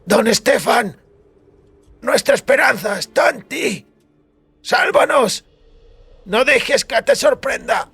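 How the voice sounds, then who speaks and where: A man voicing a desperate follower, loudly sputtering pleas to his leader in an audiobook. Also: very high-pitched, expressive, takes it slowly, vocal fry, long pauses, slightly rough, voice pushed up, exaggerated voice. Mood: pleading. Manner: loud, sputtering plea.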